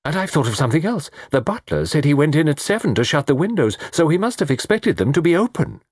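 A man voicing a fussy, excitable elderly British gentleman in a storybook, savouring his words theatrically. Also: a little high, quick pace, slightly rough, dry recording, studio quality, voice pushed up. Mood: astonished.

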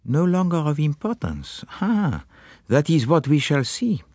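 A man voicing a fussy, precise older detective who speaks with polite, measured courtesy and often murmurs softly. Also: fairly high pitch, sing-song, no echo, clean studio sound, pitched above the performer's own voice. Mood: courteous.

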